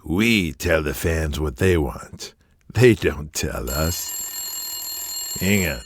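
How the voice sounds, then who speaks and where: Guy doing a cussing old wrestling promoter, real deep, slow and bossy. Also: lively intonation, a little hiss or hum, exaggerated voice. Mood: scheming. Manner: blustering, swears heavily. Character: gruff aging male wrestling promoter, profane and domineering. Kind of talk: audio drama acting.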